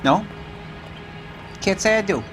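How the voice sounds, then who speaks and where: An actor playing a blunt, coarse working-class suspect, defensive and bitter, in a crime drama video game. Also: male voice, sits high, animated, slow, music in the background.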